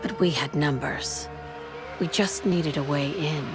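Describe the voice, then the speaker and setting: Low-voiced samurai warrior woman. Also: unhurried, over music. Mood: grave, resolute. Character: steadfast samurai warrior woman, once imprisoned, recounting her war. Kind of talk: scripted narration and game cutscene dialogue.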